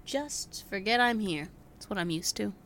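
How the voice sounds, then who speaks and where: An actress playing the detective's wisecracking assistant in an audio drama. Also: female voice, mid-range voice, expressive, normal speed, a bit bright, slight background noise. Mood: teasing. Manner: teasing, sardonic interjections. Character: cheeky teasing sidekick assistant.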